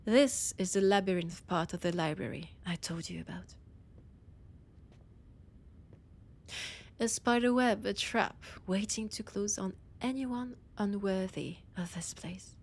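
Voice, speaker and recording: A woman playing a condescending, teasing vampire scholar in an audio roleplay, with a French accent and a breathy, husky voice that often drops to a whisper. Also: mid-range voice, normal speed, hardly any pauses. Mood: ominous, proud. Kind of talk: scripted audio roleplay addressed to the listener.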